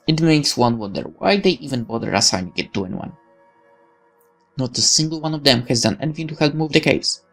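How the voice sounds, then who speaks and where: A man's voice: mid-range voice, brisk, creaky, soft background music. A man reading a visual novel's text aloud as dramatic, sardonic first-person narration. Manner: expressive, sardonic. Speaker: let's player reading game text.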